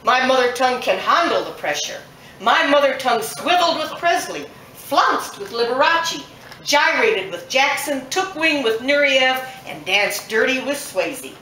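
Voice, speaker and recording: A woman poet reads her work aloud to a live audience in a bookshop, her fairly high voice pressing hard on each item of a long list. She is caught from across the room, so the sound is roomy and echoing. A faint ambient hum sits underneath. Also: sharp tone. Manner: rhythmic, emphatic delivery of long lists. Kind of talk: live performance poetry reading. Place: bookshop at a poetry festival event. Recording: echoey room, mic set far off.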